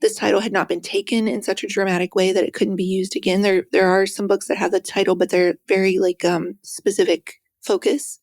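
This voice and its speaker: A soft-spoken woman with a gentle voice, an author guest telling stories in a conversational interview with playful quoted asides. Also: mid-range voice, fairly quick, few pauses, no room sound, clean studio sound. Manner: animated storytelling with quoted asides.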